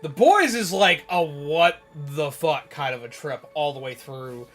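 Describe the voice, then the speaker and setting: Bright, forceful male streamer. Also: on the high side, unhurried, faint music behind. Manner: animated, emphatic, opinionated. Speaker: live streamer. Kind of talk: casual rambling chat with viewers while gaming.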